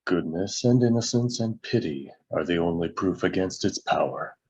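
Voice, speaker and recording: A man plays a brooding old wizard with a lowish voice and a weighty, portentous delivery. He sounds commanding and deliberate, as in a fantasy table read, through slightly muffled audio. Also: lively intonation, darkish tone, slightly rough. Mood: grave, ominous.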